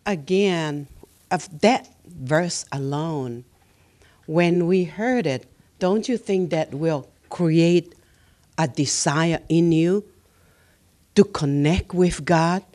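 A woman's voice: deep voice, takes it slowly, a little mellow. A woman, the pastor's wife, teaching beside him in an earnest, exhorting way. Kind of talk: teaching sermon.

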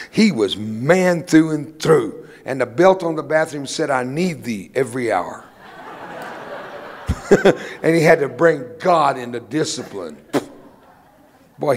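A man's voice: medium pitch, long reverb tail. An older man whose first language was Spanish, preaching a folksy sermon with humorous personal stories in a church. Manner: humorous storytelling, emphatic preaching. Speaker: guest preacher. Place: church sanctuary.

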